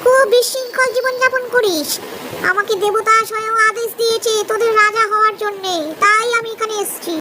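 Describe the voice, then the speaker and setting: A woman's voice: extremely high pitch, steady tone, fairly quick, voice pushed up. A woman voicing a sly, greedy, boastful jackal in a children's cartoon, with pompous delivery and a howling catch-cry. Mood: pompous, commanding. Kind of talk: children's cartoon story acting. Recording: clearly noisy background.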